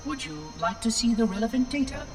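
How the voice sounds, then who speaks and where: An actor voicing a small floating machine caretaker that speaks in a precise, detached way. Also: male voice, extremely high pitch, a little fast. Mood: clinical. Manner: precise, detached, matter-of-fact. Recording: distant voice over game music.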